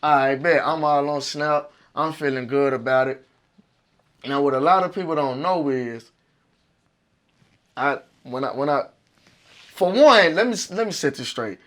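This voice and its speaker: A young man from Arkansas with a raspy voice, a music artist telling stories in a casual interview, animated and full of slang. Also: on the high side, measured pace, pauses often. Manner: animated, profane storytelling with slang.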